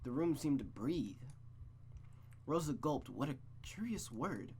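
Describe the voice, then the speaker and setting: Low-key suspense narrator, man over background noise. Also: animated, takes it slowly, dark tone. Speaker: streamer reading a visual novel aloud. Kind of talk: dramatic storybook narration with casual asides.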